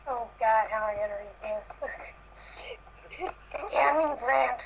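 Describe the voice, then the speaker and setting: A woman with a fairly high voice reads a story aloud in an amateur style, ready to step out of it with a comment. The audio is dull and lo-fi, like a voice chat. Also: very slow, vocal fry, noisy background. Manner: reading aloud, breaking off to comment. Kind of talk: amateur group reading of fan fiction.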